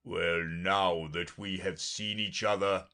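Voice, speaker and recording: A man voicing a dreamy, haughty, boastful unicorn from a storybook in a drawn-out, grand manner. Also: sits low, steady tone, sharp tone, exaggerated voice. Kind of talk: storybook character reading.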